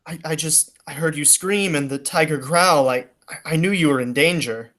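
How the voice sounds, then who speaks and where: A woman's voice: sits low, moderate pace, fairly bright tone. A woman playing a heroic, earnest male police detective in a 1940s style radio play. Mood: shaken. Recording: faint noise and clicks.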